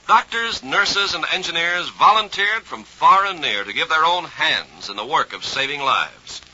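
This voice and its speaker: A man working as a radio announcer, reading formal 1950s-style scripted narration with measured emphasis. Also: a little high, bright, forceful tone, husky. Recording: dull vintage radio recording.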